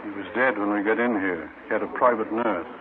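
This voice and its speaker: An actor as a sober, lowish-voiced doctor. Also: male voice, fairly quick, a crowd in the background, natural voice. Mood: grave, matter-of-fact. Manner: grave, clipped reporting. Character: sober male hospital doctor reporting to police. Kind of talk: 1950s radio drama acting. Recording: noisy, narrow-band old radio recording.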